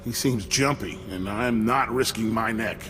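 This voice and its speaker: An actor playing a reluctant, self-protecting go-between in a video game cutscene. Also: male voice, fairly low pitch, a little slow, in a large hall, music in the background, natural voice. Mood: sly, uneasy. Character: nervous, reluctant male go-between, saving his own neck.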